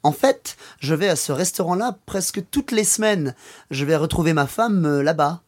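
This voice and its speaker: A man's voice: a little high, animated, a little fast. Polite, friendly passer-by, played by a man. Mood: chatty. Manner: polite, conversational, natural hesitations. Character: friendly, helpful male French passer-by. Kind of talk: acted language-course dialogue.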